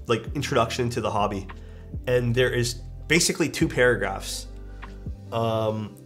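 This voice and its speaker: A young man with a stuffed-up, nasal voice, chatting casually on a live stream while he builds a keyboard and reads out chat. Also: medium pitch. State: stuffed-up nose.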